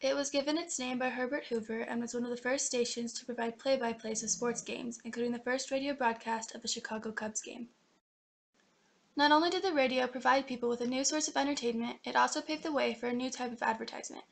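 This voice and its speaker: A woman's voice: a little high, steady tone, fast, gravelly. A young woman reading scripted documentary narration for a student history project.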